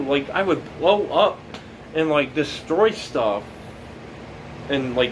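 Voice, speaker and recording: A young man in his late teens talking casually about his life, rambling and halting, with many fillers and restarts. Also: a little high, fairly bright tone, a little hiss or hum, low-quality recording. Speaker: documentary subject. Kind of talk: casual reflective monologue.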